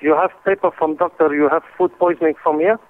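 A kebab shop worker on the phone, speaking broken English and teasing. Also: male voice, on the high side, fairly even intonation, quick pace, narrow, tinny audio. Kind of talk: phone call.

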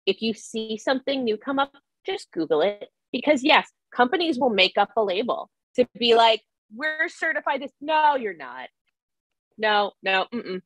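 A woman's voice: fairly high pitch, big pitch swings, normal speed, no echo, low-quality recording. A middle-aged woman speaking casually as an expert panelist on a video call. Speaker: expert panelist, environmental program director. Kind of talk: casual, conversational panel talk. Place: indoor room on a video call.

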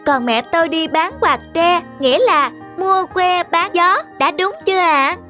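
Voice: extremely high pitch, a little slow, over music. An actor voicing a clever, bold little village boy in a cartoon fairy tale, polite but pert and earnest. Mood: pert, confident.

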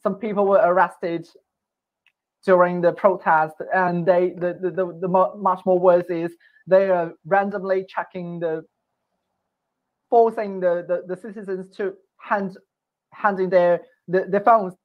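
Halting man with an altered voice. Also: high voice, level tone, phone-quality sound. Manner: halting, pausing, repeating words. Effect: voice altered for anonymity. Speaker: interviewed student in a news clip.

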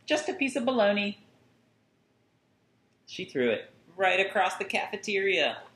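A man in his thirties reading a picture book aloud to children with deliberate, singsong phrasing and dramatic emphasis. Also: sits high, sing-song, fairly bright tone. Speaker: children's story reader. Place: small furnished room at home, indoors. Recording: roomy indoor echo.

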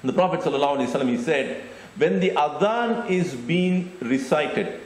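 Man, somewhat high voice, religious lecture, explanatory, handheld mic, echoey indoor stage. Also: lively intonation. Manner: deliberate, explanatory, repeats phrases. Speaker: Islamic preacher. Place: indoor stage with curtain backdrop. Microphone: handheld microphone. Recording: echoey room.

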